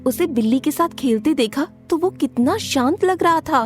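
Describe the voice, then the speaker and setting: A woman voicing a guarded, feisty teenage schoolgirl who tells her story expressively in an animated story. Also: high voice, normal speed, soft background music. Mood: narrating, confiding. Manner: expressive, dramatic. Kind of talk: animated story narration with acted dialogue.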